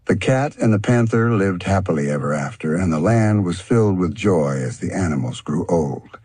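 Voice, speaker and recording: Deep, very husky male storyteller. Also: animated, moderate pace, mellow, no room sound. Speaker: audiobook narrator. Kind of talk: scripted storybook narration.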